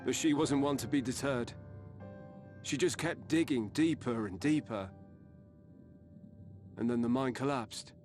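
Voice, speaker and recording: A man's voice: mid-range voice, unhurried, long pauses, darkish tone, natural voice. A plain-spoken working villager from a fantasy game, played by a man, reflective and folksy in a mid-range voice. Faint music plays behind. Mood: sombre, reminiscing. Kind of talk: video game dialogue acting.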